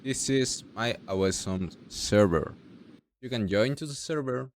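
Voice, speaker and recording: A young man from Mexico with a Mexican Spanish accent, speaking halting non-native English as he walks through a software tutorial step by step. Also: mid-range voice, slight background noise. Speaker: tutorial creator. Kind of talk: screen-recorded software tutorial.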